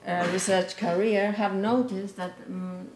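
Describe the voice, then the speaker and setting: A middle-aged woman delivers an academic lecture in English. She speaks slowly, at a medium pitch for a woman, and her phrasing is halting, with many pauses as she searches for the next word. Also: steady tone, a little mellow, a little hiss or hum. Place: lecture room with green board, indoors.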